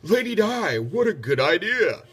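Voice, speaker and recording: An earnest, put-upon storybook knight, read by a man. His voice is bright and forceful, with an expressive delivery. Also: high voice, unhurried, slightly rough, pitched above the performer's own voice, exaggerated voice. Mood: puzzled, delighted. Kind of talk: children's storybook read-aloud.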